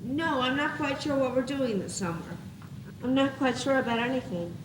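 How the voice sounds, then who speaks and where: A woman's voice: sits high, moderate pace, lightly acted. A young teenage girl acting a worried, mixed-up schoolkid in a school stage play. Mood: worried, wistful. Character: worried, mixed-up schoolkid regretting a big change. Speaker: student actor. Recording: echoey noisy 1980s school TV tape.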